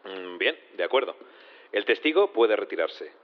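Deep-voiced male judge, echoing. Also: big pitch swings, moderate pace. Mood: puzzled, formal. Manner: hesitant, formal. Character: flustered but formal male judge presiding in court. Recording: long hall reverb, slightly dull.

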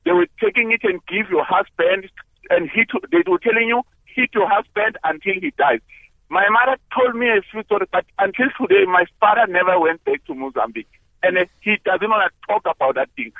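Hesitant male caller, high animated voice, dry phone-line sound. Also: brisk, gravelly, no room sound. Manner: hesitant, searching for words. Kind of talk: call-in radio talk.

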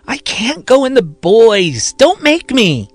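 A man voicing a grown man who acts like a little girl, speaking in a high, drawn-out, childish whine. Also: very animated, slightly rough, dry recording, exaggerated voice. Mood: pleading.

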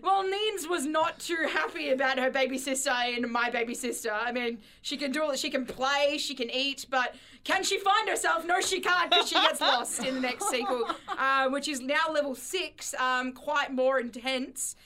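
A woman's voice: sits high, moderate pace, hardly any pauses, pushed and bright. A woman co-hosting a radio show, chatting casually with wry, mock-boastful humour. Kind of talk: casual comic chat.

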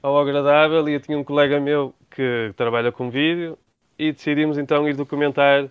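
A man's voice: fairly high pitch, steady tone, brisk, clear, resonant tone, no room sound, phone-quality sound. A man in his thirties from Portugal with a Portuguese accent, a guest answering interview questions thoughtfully, often restarting his words. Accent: European Portuguese. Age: 30s. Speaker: guest, traveler. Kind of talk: conversational interview.

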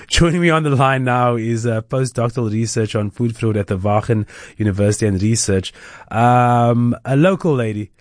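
A man's voice: lowish voice, darkish tone, no echo, clean studio sound. A man hosting a radio interview, speaking hesitantly with many fillers. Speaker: radio host. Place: radio studio.

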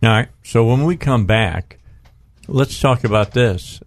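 A man's voice: medium pitch, unhurried, dry recording, studio quality. A man hosting a radio talk show in a conversational style.

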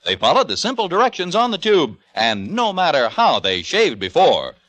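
A man delivering an assured, emphatic 1950s radio advertising pitch. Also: huge pitch swings, moderate pace, a bit bright. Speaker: radio commercial announcer.

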